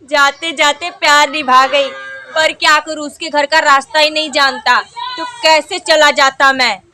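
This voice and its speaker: A woman's voice: high voice, fairly even intonation, measured pace, sharp tone, slight background noise. A woman playing a fickle, boastful village boyfriend who keeps making excuses.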